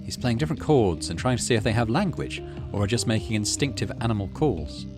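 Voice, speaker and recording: A man's voice: fairly low pitch, sing-song, fast talker, over music. A man doing let's play commentary, reading story text aloud and muttering through his decisions as he thinks aloud. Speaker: game streamer, let's play host.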